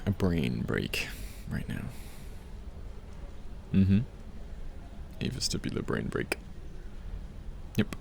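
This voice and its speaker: A man playing a tender, teasing partner in a soft-spoken ASMR roleplay, soothing and gentle as he helps a loved one study. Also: very deep voice, sing-song, takes it slowly, gravelly, pauses often, slight background noise, heavily acted. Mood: playful, soothing. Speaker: ASMR voice actor. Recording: faint background library ambience.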